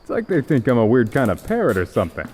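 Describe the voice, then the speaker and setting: A man's voice: medium pitch, wildly swooping pitch, quick pace, mellow, slightly rough. A man playing a laid-back wolf-man in long reverb. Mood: unbothered, flippant. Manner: casual slangy drawl, unbothered. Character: laid-back caged male wolf-tribe creature, flippant slacker. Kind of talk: audio fantasy drama acting.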